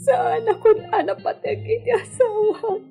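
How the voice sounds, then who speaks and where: A woman's voice: extremely high pitch, fairly even intonation, measured pace, creaky, dark tone, faint music behind, exaggerated voice. A woman with a Filipino accent acting an insecure, vulnerable young lady of a hacienda in a radio drama, plaintive in manner. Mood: anxious, plaintive.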